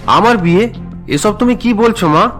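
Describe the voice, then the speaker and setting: Guy doing a young cartoon son who hates his arranged marriage, whiny, high male voice, faint music. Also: expressive, normal speed, a bit bright. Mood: puzzled. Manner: whiny, indignant, protesting. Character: resentful young city-working son, unwilling groom.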